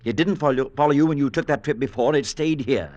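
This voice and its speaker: An actor playing a steady, practical clergyman friend, firm and reassuring, in 1940s radio acting. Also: mid-range voice, fast, no room sound, highs cut off, natural voice. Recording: old radio play with music underneath.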